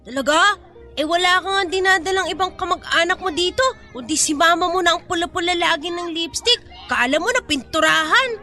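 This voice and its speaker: A woman's voice: extremely high pitch, fairly quick, bright, forceful tone, faint music behind. A woman voicing a mean, taunting young Filipino school bully with a mocking manner in an audio drama. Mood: cruel teasing. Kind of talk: acted audio drama dialogue.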